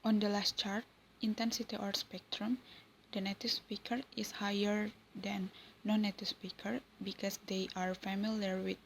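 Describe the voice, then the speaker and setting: A young woman from Indonesia with an Indonesian accent, reading a class presentation in English with a careful, even delivery. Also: mid-range voice, creaky, a little hiss or hum, rough recording. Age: young adult. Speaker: student presenter.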